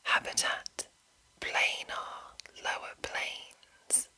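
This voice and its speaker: A woman whispering in a breathy, unvoiced voice as an ASMR host, reading deliberately with soft enunciation. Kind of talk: whispered ASMR reading of a game manual with casual asides.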